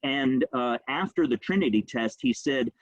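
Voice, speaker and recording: A man gives a history lecture at a brisk, conversational clip, his speech dotted with ums and uhs. The sound is dry and a bit dull, as from a plain recording in a quiet room. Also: medium pitch, animated, dry recording. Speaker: rabbi giving a history lecture. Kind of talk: informal illustrated talk.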